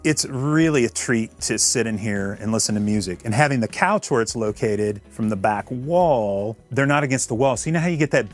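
A man in his fifties from Illinois, giving a relaxed, technical tour of his basement recording studio. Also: medium pitch, a little fast, few pauses, faint music behind. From Illinois, USA.

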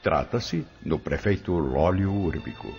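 A man's voice: low-pitched, expressive, unhurried, muffled recording, music in the background. A man with a Brazilian accent giving dramatic scripted narration.

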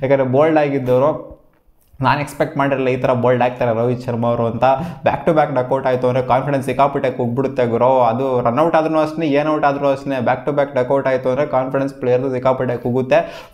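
A young man gives an excited, slangy cricket match breakdown from a small home studio, waving his hands as he talks. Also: fairly high pitch, roomy sound. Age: young adult. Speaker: cricket YouTuber. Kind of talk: post-match analysis vlog. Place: small home studio with gaming chair and LED lights.

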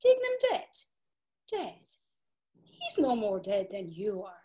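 An actress with an Irish accent playing an excitable Dublin pub drinker, read over a video call. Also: female voice, mid-range voice, takes it slowly, husky, heavily acted. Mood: astonished. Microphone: video call webcam.

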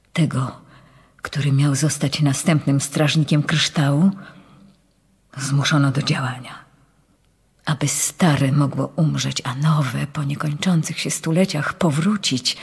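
A frail, pleading nun in a radio drama. Her voice is hushed and creaky, with a flat, low pitch and a breathy edge. She speaks haltingly, like someone confiding a dread secret. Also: full character voice. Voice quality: breathy, whispery, husky. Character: frail foreign nun, mystical, fearful, pleading. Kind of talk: radio drama letter monologue. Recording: long hall-like reverb.

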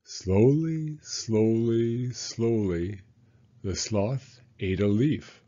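An older man reading a children's picture book aloud deliberately, with pauses between words. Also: fairly low pitch, mellow, lo-fi sound. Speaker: storyteller.

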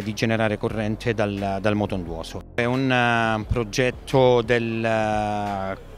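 Middle-aged man, port director, lowish voice, halting with fillers, handheld mic at a fair, faint music under. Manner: hesitant, many fillers and restarts. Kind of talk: trade fair interview.

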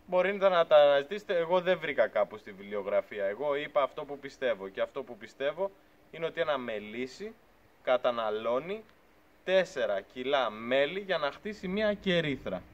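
Earnest man talking outdoors, dull audio. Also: fairly high pitch. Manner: earnest, argumentative, gestures while talking. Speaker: beekeeping channel host. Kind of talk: casual talk to camera. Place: outdoors by a pickup truck at a farm shed.

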